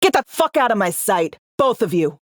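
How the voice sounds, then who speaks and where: A woman's voice: medium pitch, very animated, normal speed, no room sound, lightly acted. Woman playing a brash, cynical game spy, close dry sound. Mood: irritated, blunt. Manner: clipped, slangy, sardonic, blunt. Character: brash, foul-mouthed, cynical female spy, world-weary and wisecracking. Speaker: undercover field agent in a sci-fi game. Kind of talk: video game character dialogue.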